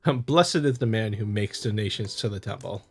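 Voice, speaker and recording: Guy doing casual gaming commentary, jokes around and reads stuff out, rough-ish voice, faint music behind him. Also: lowish voice, normal speed. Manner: jokey, stumbles over read text. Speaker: host, gamer. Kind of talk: casual playthrough commentary and reading aloud.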